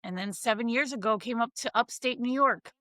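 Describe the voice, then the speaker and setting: A woman in her thirties from Connecticut talks bluntly and frankly, with casual slang. Her voice is bright and sits a little high. The recording is dry and close. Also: a little fast, no echo. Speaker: guest. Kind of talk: casual interview, telling her life story.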